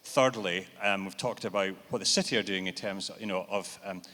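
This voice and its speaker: A man's voice: sits low, normal speed, pushed and bright. A man answering questions on a conference panel in a businesslike, explaining way. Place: large event hall. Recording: reverberant hall.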